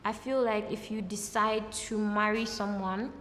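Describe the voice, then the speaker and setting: A woman's voice: medium pitch, fairly even intonation, unhurried, fairly bright tone. A Nigerian woman giving earnest, reflective interview answers. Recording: roomy reverb, faint hiss.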